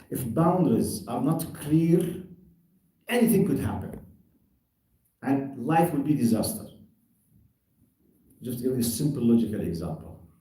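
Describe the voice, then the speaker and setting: A man teaches a religious lesson on scripture, pressing his point through rhetorical questions and simple everyday examples. His voice is dark and mellow. It is picked up from a distance, in a room that rings with heavy echo. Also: mid-range voice. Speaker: lecturer giving Quran commentary. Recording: very echoey room, distant mic.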